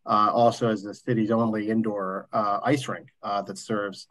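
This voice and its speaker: A man presenting as a development officer at a board meeting over a video call, halting, with many fillers and restarts. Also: fairly low pitch, little pitch movement, a bit bright.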